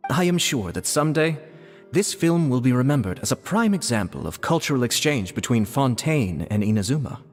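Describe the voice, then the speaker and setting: A man's voice: medium pitch, big pitch swings, a little fast, long reverb tail, faint music behind. An actor playing a courteous, composed male film crew member in a video game, speaking formally.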